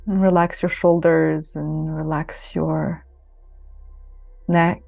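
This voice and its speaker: A woman leading a guided yoga nidra meditation in a soothing, unhurried way, with long pauses between phrases. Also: deep voice, flat delivery, gravelly, no room sound. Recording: close dry voice over soft music. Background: soft music.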